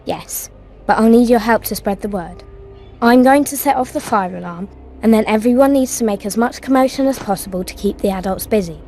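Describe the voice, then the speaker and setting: A woman's voice: fairly high pitch, brisk, warm tone, lightly acted. Video game girl lead, played by a woman: young, brave, conspiratorial, decisive, with faint music behind. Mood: determined. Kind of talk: video game cutscene acting.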